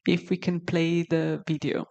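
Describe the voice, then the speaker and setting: A woman's voice: on the low side, flat delivery, measured pace, vocal fry, darkish tone, muffled recording. A woman moderator briefly introducing a colleague's video. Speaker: session moderator.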